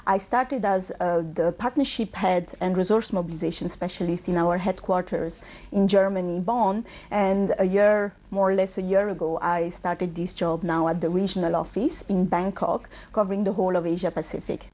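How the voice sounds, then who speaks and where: Mellow-voiced woman explaining her work. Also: on the low side, steady tone, moderate pace. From Bangladesh, raised in Belgium. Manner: fluent, explanatory, with frequent "uh" and "um". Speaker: UN regional manager guest. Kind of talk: TV interview answers.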